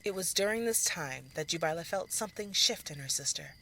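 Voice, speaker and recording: A woman's voice: fairly low pitch, lively intonation, a little fast, pushed and bright. A woman narrating a scripted audio drama as a dramatic storyteller.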